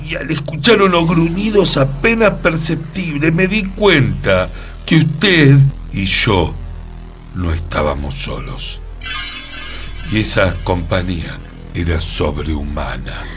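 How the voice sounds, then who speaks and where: A man's voice: mid-range voice, lively intonation, fairly bright tone, soft background music. Man acting a theatrical, wildly swooping storyteller. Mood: suspenseful, grave. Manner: theatrical, suspenseful, halting. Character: dramatic man recounting an eerie supernatural encounter. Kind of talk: radio comedy drama acting.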